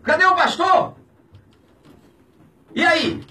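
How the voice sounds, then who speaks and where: A man's voice: slow, distant mic. Fiery Brazilian pastor in his fifties. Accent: Brazilian Portuguese. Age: 50s. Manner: indignant, preaching, alternately shouting and dropping low. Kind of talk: impassioned radio commentary. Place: small radio studio. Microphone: studio mic on a boom, filmed from a distance.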